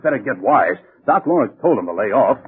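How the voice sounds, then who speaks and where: A very raspy, folksy bush pilot. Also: male voice, mid-range voice, big pitch swings, brisk. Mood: alarmed. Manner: folksy, wry. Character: folksy, jittery male bush pilot, plain-spoken and wry. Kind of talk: 1940s radio drama acting. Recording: tinny, band-limited 1940s radio recording.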